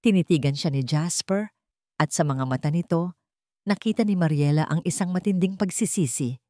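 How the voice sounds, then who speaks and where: Woman narrating drama, lower-pitched, dry sound. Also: moderate pace, warm tone, no echo. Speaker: storyteller, narrator. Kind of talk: dramatic scripted story narration.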